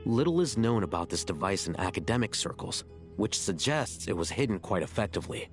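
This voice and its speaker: Low-voiced, formal academic. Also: male voice, very animated, over music. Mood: grave, measured. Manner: formal, deliberate. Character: measured, serious male academic adviser. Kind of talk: video game voice acting.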